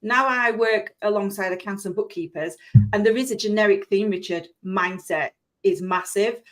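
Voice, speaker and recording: A woman's voice: medium pitch, normal speed, poor audio. Middle-aged Yorkshire-accented woman, live-stream host, bubbly and rambling. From Yorkshire, England. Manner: chatty, enthusiastic, rambling.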